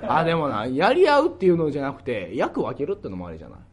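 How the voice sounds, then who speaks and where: A man's voice: mid-range voice, huge pitch swings, very fast. A young man with a Kansai accent chatting casually and comically as a radio co-host. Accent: Kansai Japanese. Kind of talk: casual comedic chat.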